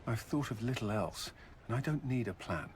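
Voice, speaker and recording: An actor playing a devoted, soldierly commander in a video game cutscene, earnest and tender in manner. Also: male voice, mid-range voice, animated, normal speed, a little hiss or hum, close to an everyday voice. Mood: nervous, earnest.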